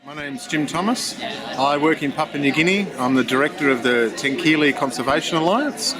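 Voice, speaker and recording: A man's voice: a little high, brisk, a crowd in the background, poor audio. A man who directs a conservation group, introducing himself plainly to camera.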